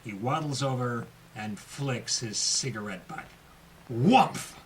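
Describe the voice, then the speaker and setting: A man's voice: mid-range voice, takes it slowly, slight background noise. A man co-hosting with scripted, theatrical narration and wry commentary. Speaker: co-host and narrator.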